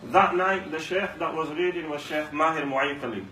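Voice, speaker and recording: A man's voice: a little high, moderate pace, bright, forceful tone. Man preaching in echoey hall. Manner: storytelling, repeats lines for emphasis. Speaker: mosque speaker. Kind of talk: Ramadan talk to a congregation. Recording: echoey room, mic far off, faint hum.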